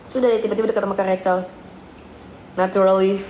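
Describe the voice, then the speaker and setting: A woman's voice: mid-range voice, flat delivery, fast talker, a little mellow. A young woman telling stories and giggling in casual chat while she eats. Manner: chatty, giggly storyteller. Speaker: live stream co-host. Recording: echoey room with faint noise. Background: clinking cutlery and dishes.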